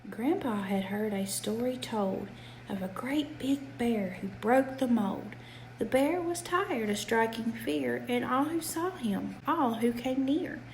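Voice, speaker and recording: Woman storyteller, fairly high voice, expressive bedtime read-aloud, rhythmic and animated, slight background noise. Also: slow, low-quality recording. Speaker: storyteller reading to a child.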